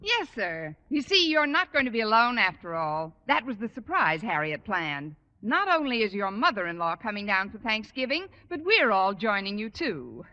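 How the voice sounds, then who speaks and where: An actress playing a quick-witted, unflappable woman with a dry, wisecracking manner in 1940s radio comedy. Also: medium pitch, big pitch swings, bright, forceful tone. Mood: placating. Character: wry, quick-witted, unflappable female family friend. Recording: muffled, noisy old radio recording.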